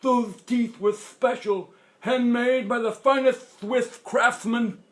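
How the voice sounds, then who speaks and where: A man voicing a storybook grandfather, old, toothless and indignant, with a lisp and drawn-out, loud exclamations. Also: very high-pitched, steady tone, voice pushed up. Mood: indignant distress. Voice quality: lisping, toothless speech.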